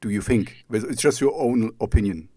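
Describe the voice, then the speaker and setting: A man's voice: medium pitch, moderate pace, warm tone. A man from East Germany with a German accent, a native German speaker, hosting a casual interview in a rambling, hesitant way as he circles around his questions. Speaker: radio talk show host.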